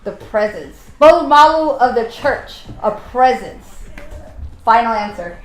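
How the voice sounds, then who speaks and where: A woman's voice: a little high, animated, a little slow, reverberant, faint music behind, rough recording. A woman from Samoa hosting a playful family vocabulary game in a casual home vlog. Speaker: vlog host.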